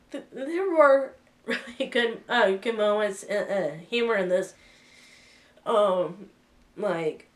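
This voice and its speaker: Woman vlogger with a cold, unhurried and halting, slightly distant from the mic. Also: medium pitch. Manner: hesitant, many fillers and restarts. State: sick with a cold. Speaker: vlogger reviewing a film. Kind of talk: casual spoken review.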